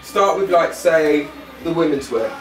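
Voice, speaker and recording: A man's voice: a little high, measured pace, distant mic, faint music behind. A man with a British accent, chatting informally as an interviewer on location. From Britain. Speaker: interviewer, presenter. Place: on location in designer's studio. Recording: echoey, off-mic, faint background noise.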